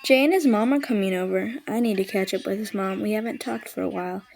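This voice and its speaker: A woman playing a patient, nudging mother in an audio drama, speaking in a gently chiding, coaxing manner. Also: mid-range voice, fast talker, vocal fry, lightly acted. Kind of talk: naturalistic audio drama acting.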